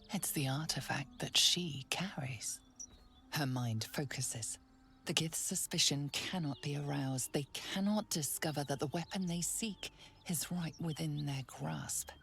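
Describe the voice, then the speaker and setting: A woman playing a grave narrator of a dark fantasy game, hushed, measured and ominous. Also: sits low, creaky, exaggerated voice. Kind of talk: scripted second-person game narration.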